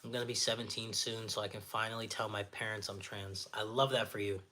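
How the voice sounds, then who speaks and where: A man with a naturally raspy, slightly nasal voice, hosting a casual livestream, reading viewer comments aloud and answering them in a friendly, encouraging way, sniffling often. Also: on the low side, little pitch movement, brisk, bright, forceful tone, slight background noise. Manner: friendly, encouraging, chatty with filler words. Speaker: livestream host.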